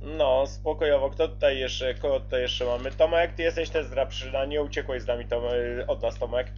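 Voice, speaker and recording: A man's voice: medium pitch, steady tone, a little fast, faint music behind. Man in dull lo-fi radio chat. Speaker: CB radio operator relaying calls.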